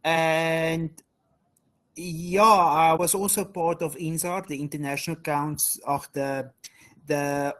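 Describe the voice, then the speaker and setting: A man's voice: a little high, steady tone, slow pace, fairly bright tone. A man with a South African accent giving an earnest panel talk as a researcher and advocate, often repeating phrases, on a video call. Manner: earnest, repeats phrases like "as well" and "you know". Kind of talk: panel presentation.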